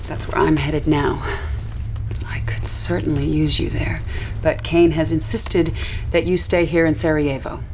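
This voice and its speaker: Casting: a resentful, commanding female war leader, deep-voiced and intense, for a video game cutscene. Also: steady tone, a little slow, a little mellow, a little hiss or hum. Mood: guarded, cool. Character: proud, resentful female military commander of a fanatical army.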